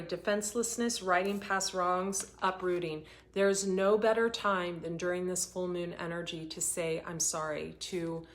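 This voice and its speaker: A woman hosting a live oracle card reading, talking in an earnest, rambling way, her voice getting hoarse. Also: on the low side, fairly bright tone. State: voice going hoarse. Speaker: intuitive reader hosting a livestream.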